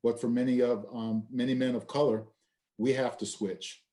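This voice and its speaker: A man's voice: medium pitch, little pitch movement, moderate pace, dark tone. A man moderating a panel, thanking speakers and steering the discussion.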